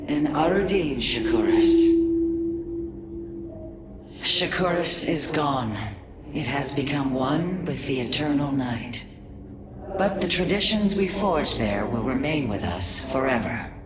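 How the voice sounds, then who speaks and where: A woman voicing a proud, solemn alien matriarch in a video game, speaking in a grave, ceremonial, deliberate way. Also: very deep voice, lively intonation, over loud music, full character voice. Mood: mournful. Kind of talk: dramatic scripted game cinematic dialogue. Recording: loud ambient noise behind.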